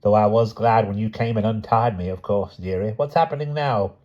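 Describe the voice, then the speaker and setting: A man voicing a calm, cheerful old woman who talks in a chatty, homely way. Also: lowish voice, a little fast, highs cut off, voice pushed up, lightly acted. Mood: serene.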